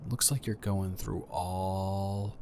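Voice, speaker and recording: A man's voice: low voice, flat delivery, slow pace, gravelly, a little mellow, a little hiss or hum, heavily acted. A man improvising a romantic audio roleplay as a flirty, playfully boastful neighbor, talking straight to the listener in a teasing, stammering, rambling way.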